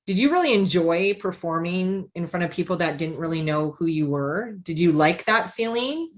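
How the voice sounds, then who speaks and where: A woman's voice: fairly low pitch, animated, normal speed. A woman hosting a podcast, asking short, friendly questions in a casual interview. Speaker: podcast host.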